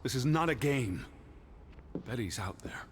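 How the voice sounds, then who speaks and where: An actor playing an anxious, bookish 1920s gentleman searching for his missing sister in a video game drama, speaking earnestly in precise, formal phrases. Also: medium pitch, animated, unhurried, slight background noise, close to an everyday voice. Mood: exasperated.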